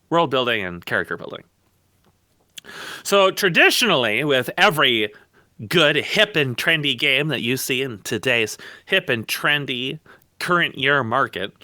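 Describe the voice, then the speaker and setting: A man's voice: mid-range voice, bright, forceful tone, clean tone, no room sound, clean studio sound. A man giving opinionated podcast commentary on gaming in a blunt, scornful, emphatic way.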